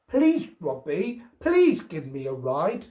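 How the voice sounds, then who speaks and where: A man putting on a shrill, bossy little girl. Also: wildly swooping pitch, slow, dark tone, echoey room, full character voice. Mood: pleading, whiny. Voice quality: shrill, squealing. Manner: childish, demanding, exclamatory. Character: bossy, shrill eight-year-old girl, playful and wheedling. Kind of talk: storybook character voice.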